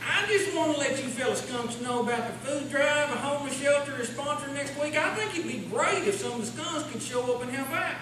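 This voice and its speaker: Man playing a very high-voiced, meek lodge member. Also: fairly quick, hardly any pauses. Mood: earnest, meek. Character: earnest, meek junior lodge member eager to help. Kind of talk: live comedy radio-show sketch acting. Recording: echoey hall, distant mic, noisy.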